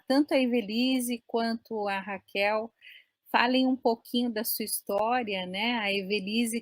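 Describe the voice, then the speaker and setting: A woman's voice: on the high side, dry recording. A Brazilian woman in her forties hosting a live online chat in a friendly, talkative way, often adding "né?". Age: 40s. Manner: friendly, chatty, many "né?" tags. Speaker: host. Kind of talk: casual live-stream chat.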